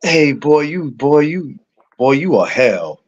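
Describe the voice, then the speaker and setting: A man's voice: on the high side, sing-song, slow pace, dull audio. A man, co-hosting a podcast, teasing his friend in playful banter. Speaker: podcast co-host. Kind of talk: casual chat.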